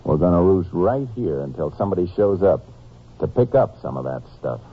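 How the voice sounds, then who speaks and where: A man acting a steady, shrewd Texas Ranger with an American accent in an old-time radio drama, in clipped, folksy Western talk. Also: sits low, brisk, husky, exaggerated voice. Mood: dry, alert. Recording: noisy, narrow-band old radio recording.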